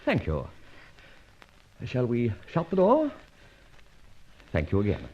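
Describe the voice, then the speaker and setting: An actor playing a young British masked thief in an old-time radio detective drama, mock-polite, wry and commanding. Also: male voice, mid-range voice, huge pitch swings, slow pace, a little mellow, a little hiss or hum. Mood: mock-polite menace. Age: young adult. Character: suave masked young vigilante thief, bold, mocking, menacing. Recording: dull vintage radio recording.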